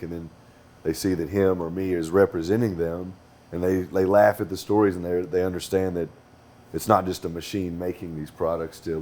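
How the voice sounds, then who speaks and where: Deep-voiced distiller, laid-back panel talk, feeling his way through each point. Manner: relaxed, thinking aloud with restarts.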